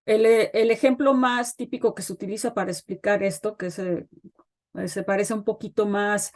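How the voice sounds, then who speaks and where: A woman's voice: mid-range voice, fairly even intonation, a little mellow. Middle-aged woman, guest expert, thoughtful explainer who hesitates often with fillers. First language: Spanish. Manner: thoughtful, pauses with frequent fillers. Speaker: guest expert on child development. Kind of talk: explanatory interview talk.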